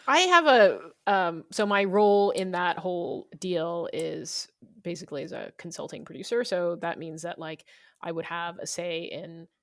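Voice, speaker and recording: Lower-voiced woman, close dry sound, conversational. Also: moderate pace, a bit bright, dry recording. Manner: talkative, informal, explanatory. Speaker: interviewed novelist. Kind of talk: conversational interview answers.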